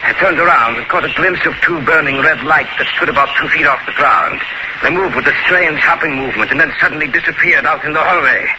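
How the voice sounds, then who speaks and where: An actor playing a grave doctor who narrates a 1940s radio horror drama in a formal, measured, probing manner. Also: male voice, on the high side, close to an everyday voice. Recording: scratchy, muffled 1940s radio recording, heavy noise.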